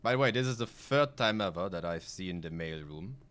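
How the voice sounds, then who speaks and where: A man's voice: sits low, expressive, moderate pace, a bit bright, clear, resonant tone. A man from Germany with a German accent, a native German speaker, streaming a video game live with casual commentary, reading aloud and sweary, rambling asides.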